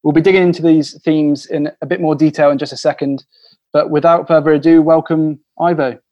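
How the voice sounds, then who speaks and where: Slightly high-voiced male podcast host. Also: steady tone, dark tone, highs cut off. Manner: polished scripted intro, then halting questions. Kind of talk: interviewing.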